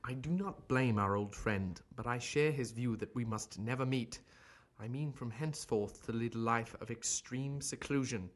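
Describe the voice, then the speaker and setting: A guilt-ridden gentleman doctor , acted by a man voices his letter in an audio drama. He is solemn, formal and secretive, in a mid-range voice. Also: mid-range voice, normal speed, lightly acted. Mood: grave, tormented. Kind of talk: audio drama acting, letter read in character.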